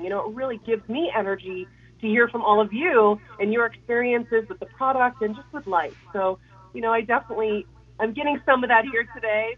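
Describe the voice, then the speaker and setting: A woman guest with a head cold, her voice raspy and hoarse, chatting casually with lots of fillers. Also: fairly high pitch, narrow, tinny audio. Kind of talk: casual radio chat.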